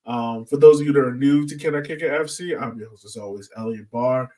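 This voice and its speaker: A man's voice: mid-range voice, a little fast, dark tone. A man hosting a podcast in a casual, informal, slangy way. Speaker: podcast host. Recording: slightly distant from the mic.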